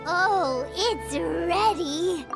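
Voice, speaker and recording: A woman voicing a tiny, cheerful, food-loving fairy girl companion in a video game, with a squeaky childlike voice and chirpy manner. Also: expressive, extremely slow, gravelly, loud music behind the voice, full character voice. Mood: cheerful, helpful. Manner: chirpy, speaks of herself in third person. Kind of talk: video game character acting.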